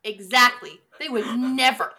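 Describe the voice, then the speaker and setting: A woman's voice: fairly high pitch, unhurried, sharp tone. A woman co-hosting a casual film review chat, answering in dry, teasing retorts. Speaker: podcast co-host.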